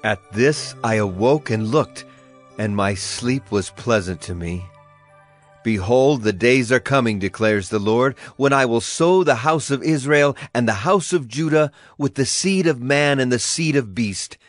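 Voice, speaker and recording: A man's voice: mid-range voice, unhurried, natural voice. A solemn ancient prophet acted by a man in an audio scripture drama. He has a mid-range voice and proclaims earnestly, in a declamatory way, over a bed of music. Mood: solemn proclamation. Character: solemn male Hebrew prophet proclaiming divine oracles. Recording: music behind the voice.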